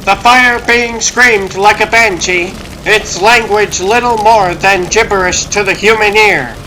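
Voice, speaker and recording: Male narrator, high-set voice, scripted dramatic storytelling over prominent music. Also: steady tone, a little slow, bright, forceful tone, poor audio.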